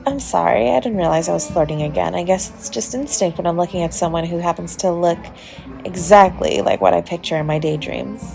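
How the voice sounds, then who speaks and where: A woman's voice: fairly low pitch, fairly quick, creaky, mellow, low-quality recording. A woman playing a charming, flirtatious stranger at a party, chatty, coy and teasing, in an intimate romantic audio roleplay. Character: charming, playfully flirtatious adult party guest, smooth-talking stranger. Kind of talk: romantic audio roleplay, intimate one-sided dialogue to the listener. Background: music behind the voice.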